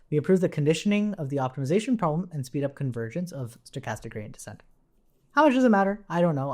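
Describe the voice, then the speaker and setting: A man's voice: a little high, a little mellow. A man giving a casual live coding tutorial, thinking aloud in an informal, explanatory way as he works. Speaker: programming tutorial instructor.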